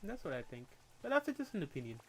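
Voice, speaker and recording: A man's voice: a little high, huge pitch swings, fairly bright tone, slight background noise. A young man with a Puerto Rican accent, chatting and ranting on a livestream in a candid, opinionated way. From Puerto Rico. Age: young adult. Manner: candid, opinionated, quick to rant, with casual swearing. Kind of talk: casual livestream chat and rant.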